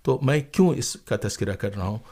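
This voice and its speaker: A man's voice: fairly low pitch, lively intonation, brisk, darkish tone. A man speaking as an interview guest, telling stories conversationally in an emphatic, dramatic way.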